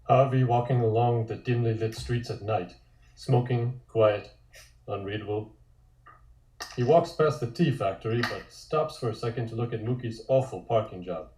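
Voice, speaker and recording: A man narrates scripted scene directions in a mellow, relaxed voice of medium pitch. The room adds an audible echo. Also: normal speed. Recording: roomy, echoey room.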